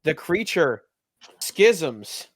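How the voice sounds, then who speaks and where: A man's voice: fairly high pitch, big pitch swings, drawn-out words, slightly rough. A man acting as game master, casually narrating a tabletop roleplaying game and calling for rolls.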